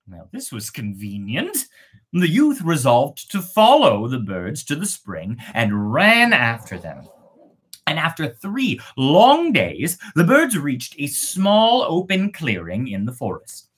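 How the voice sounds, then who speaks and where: A woman's voice: low voice, huge pitch swings, slow, breathy edge. A woman narrating a fairy tale as host, playful and breaking off for casual asides. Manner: playful, self-interrupting. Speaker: storyteller and host.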